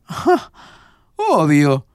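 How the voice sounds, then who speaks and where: A young Argentine man in an audio drama. He is sardonic and restless, with very lively intonation and a dry, close sound. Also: fairly high pitch, very slow, clean tone, no echo, full character voice. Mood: wry. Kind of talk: audio drama acting, muttering to himself.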